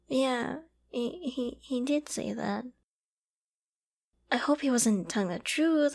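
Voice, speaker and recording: Actress as a clingy, high-voiced apocalypse survivor woman. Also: vocal fry, slightly rough, heavily acted. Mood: apologetic, somber. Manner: emotional, halting and stammering, often dropping to whispers and sighs. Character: clingy, secretly obsessive young woman surviving a zombie apocalypse. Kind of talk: dramatic ASMR roleplay audio acting.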